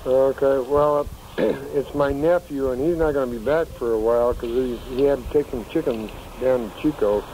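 A man answering a phone call, patient and slow, sounding puzzled. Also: mid-range voice, mellow, slight background noise. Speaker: call recipient.

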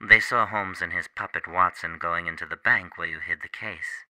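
A man's voice: sits low, fairly even intonation, moderate pace, bright, forceful tone, no room sound. A man playing a cool, menacing criminal professor in a radio drama, curt and coldly polite. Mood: cool menace.

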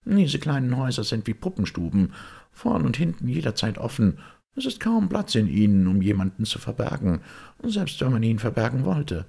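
A man voicing a self-assured, puzzled doctor who explains things deliberately in an audiobook detective story. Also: medium pitch, very animated, vocal fry, mellow, slightly rough, pitched above the performer's own voice, full character voice. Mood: puzzled, insistent.

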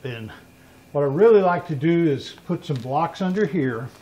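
Higher-voiced older man, thinking aloud. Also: lively intonation, moderate pace, mellow. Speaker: machine restorer and channel host. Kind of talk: casual chat while working. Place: open shop doorway beside a trailer. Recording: noisy workshop recording.

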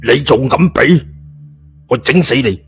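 A man voicing a rough, hot-headed, scornful uncle in an audio story, with dull sound. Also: mid-range voice, animated, measured pace, voice pushed up. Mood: enraged. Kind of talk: scripted audio story dialogue. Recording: dull lo-fi audio, music behind.

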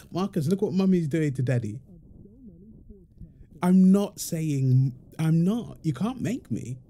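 Man as young husband and dad for intimate roleplay audio: very soft dark tone, teasing and affectionate, animated pitch. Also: slow, pauses often, a little hiss or hum. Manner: teasing, affectionate, chuckling banter. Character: playful teasing young husband and doting father. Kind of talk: intimate domestic ASMR roleplay.

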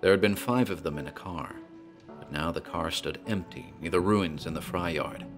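A man's voice: low voice, moderate pace, soft background music. A man narrating a horror tale in a theatrical, storytelling manner, as a tavern landlord.

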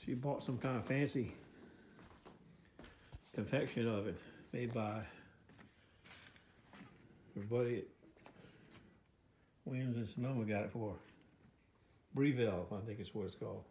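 An older American man talks casually to his phone, wandering from point to point in folksy, plain-spoken style. The sound is echoey, with faint noise behind his voice. His pitch sits in the middle range for a man. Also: unhurried, long pauses, a little mellow. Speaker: vlogger. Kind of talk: casual rambling walk-through commentary. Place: inside a house under renovation, later outdoors. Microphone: handheld phone. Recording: echoey rooms, background noise, handheld.

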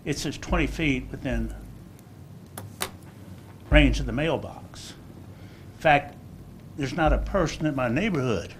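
A man's voice: mid-range voice, slight background noise. An older man, a resident giving blunt, plainspoken public comment to the council. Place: council chamber. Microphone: table microphone.

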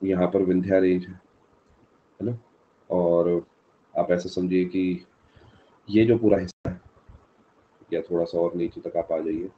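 A man's voice: sits low, level tone, measured pace, pauses often, dull audio, a little hiss or hum. A male teacher with a sore throat giving a live exam-prep lecture, answering students from the chat by name and mixing in English terms.

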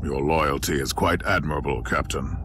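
A man voicing a grim, zealous Inquisitor warrior in a video game, stern and deliberate, with dry menace. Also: very deep voice, steady tone. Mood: dry, sardonic.

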